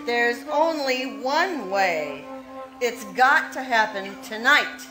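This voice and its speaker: A woman's voice: fairly high pitch, lively intonation, slow, over music, rough recording. A woman playing a ruthless, scheming young woman who plots a murder, in 1940s radio acting style, cold and commanding. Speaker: radio play actor.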